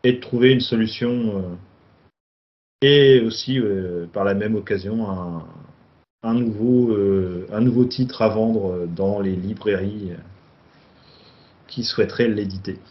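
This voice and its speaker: A man's voice: lowish voice, steady tone, a little slow. A man co-hosting a casual film discussion, summarizing a plot with many hesitations and fillers. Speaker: film podcast co-host. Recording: dull lo-fi sound.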